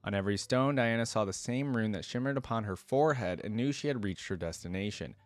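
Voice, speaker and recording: A man's voice: fairly low pitch, fast talker, no room sound. A man hosting a reaction video, reading a fantasy story aloud and adding casual fan commentary.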